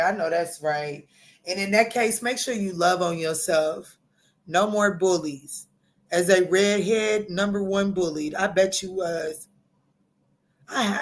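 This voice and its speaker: A woman, hosting a casual tarot livestream and chatting loosely with viewers. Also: lowish voice. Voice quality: raspy. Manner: chatty, loose and playful, greeting viewers by name. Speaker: tarot reader and livestream host.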